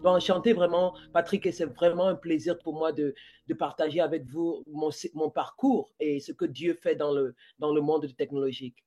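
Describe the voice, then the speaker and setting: A woman's voice: deep voice, steady tone, moderate pace, mellow, soft background music. A woman in her forties from Cameroon, speaking as a podcast guest, slipping English words into her French with many restarts. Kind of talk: conversational interview.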